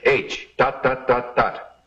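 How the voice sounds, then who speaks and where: An actor playing an encouraging young mine superintendent in an old-time Western radio drama, with an earnest manner. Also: male voice, mid-range voice, steady tone, slow pace, fairly bright tone. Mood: encouraging, instructing. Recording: muffled old radio drama recording, music behind.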